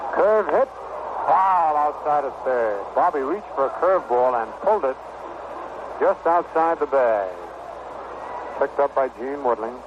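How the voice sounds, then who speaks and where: Noisy vintage radio baseball announcer, higher-pitched man. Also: animated, unhurried, highs cut off. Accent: American. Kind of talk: 1960s radio baseball commentary. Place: ballpark broadcast at a stadium.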